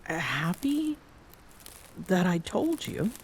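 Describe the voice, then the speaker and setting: Amazonian queen for ASMR roleplay, female performer: deep female register, very unhurried, soft near-whisper, tender, wistful, guarded. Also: sing-song, slight background noise, exaggerated voice. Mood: subdued, gentle. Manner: soft, slow, pensive, often breathy near-whisper. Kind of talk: intimate ASMR roleplay acting.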